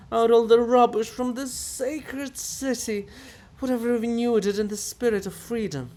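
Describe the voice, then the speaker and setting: A man's voice: sits high, a little mellow, a little hiss or hum, pitched above the performer's own voice. A man voicing a vain, fanatical monument come alive in a dramatic stage farce, declaiming pompously and theatrically. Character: ranting, grandiose Bulgakov monument, vain and fanatical.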